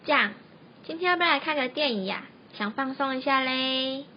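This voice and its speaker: A young woman with a Taiwanese Mandarin accent playing an excitable, easily spooked dorm student in an amateur campus radio comedy. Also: sits high, brisk, dull audio, slight background noise. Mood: playful. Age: young adult. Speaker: student radio drama actor.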